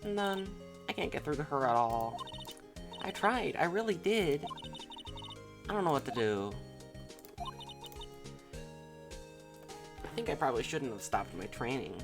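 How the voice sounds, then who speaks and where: A woman voicing an earnest, excitable young girl from a video game, eager and apologetic. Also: a bass voice, moderate pace, over music, exaggerated voice. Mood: dejected.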